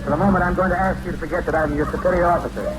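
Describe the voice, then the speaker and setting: A man's voice: a little high, racing, close to an everyday voice. An actor playing a pompous, fatherly older elevator captain in an old-time radio comedy. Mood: fatherly, solemn. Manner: pompous, earnest, speechifying. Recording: noisy old radio recording, steady hiss.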